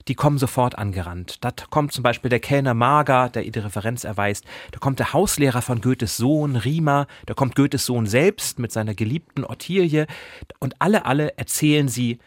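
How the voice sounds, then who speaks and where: Clear-voiced man hosting, close and dry. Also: mid-range voice, no room sound. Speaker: podcast host. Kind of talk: chatty literary podcast presenting.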